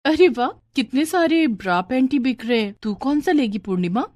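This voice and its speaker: A woman's voice: sits high, expressive, moderate pace, a little mellow, dry recording, voice pushed up. Woman playing a meek, poor young storybook woman. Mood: delighted. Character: poor, humble young orphan girl, wistful and sweet.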